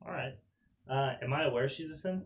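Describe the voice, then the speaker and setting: A man playing a tabletop game, asking casual questions in a halting, inquisitive way. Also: mid-range voice. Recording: noisy room recording with clicks.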